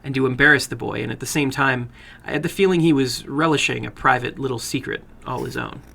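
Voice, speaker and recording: Bright, creaky male story narrator. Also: medium pitch, quick pace, slight background noise. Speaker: narrator, host of horror fiction readings.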